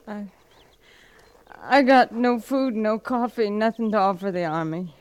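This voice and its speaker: An actress playing a small, weary, grief-stricken frontier widow in a radio western, bitter and defensive. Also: female voice, mid-range voice, animated, unhurried, a little mellow, clear, resonant tone, lightly acted, low-quality recording. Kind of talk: 1950s radio western acting.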